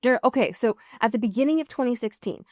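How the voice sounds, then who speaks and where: A woman's voice: a little high, animated, quick pace, darkish tone, dry recording. Woman chatting on a podcast, casual n sweary, kinda wry, very dry close recording. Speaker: podcast co-host. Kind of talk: casual TV recap chat.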